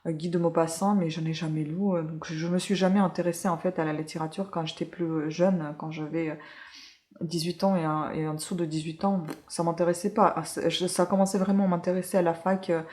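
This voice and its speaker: Woman from ukraine, lowish voice, lots of euh and restarts, chatty book review. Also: flat delivery, fairly quick, a little mellow. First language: Russian. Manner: hesitant, many fillers and self-corrections. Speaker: book reviewer, channel host. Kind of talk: casual book review chat.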